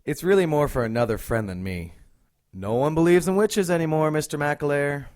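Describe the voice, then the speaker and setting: A man's voice: on the high side, fairly quick, a little mellow, close to an everyday voice. An actor in an audio drama playing a plainspoken, skeptical male police detective with a doubting manner. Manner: matter-of-fact, doubting.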